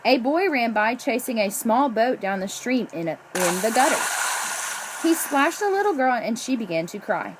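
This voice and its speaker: A woman reading a children's picture book aloud in an expressive storytelling way, drawing out the ends of phrases. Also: fairly high pitch, moderate pace, very noisy. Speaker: storybook reader.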